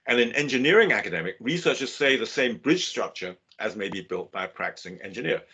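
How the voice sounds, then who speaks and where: A man's voice: mid-range voice, fairly bright tone, slightly rough. Older man lecturing over a dull webinar line. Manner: measured, explanatory, with fillers and restarts. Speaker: business school professor giving a webinar.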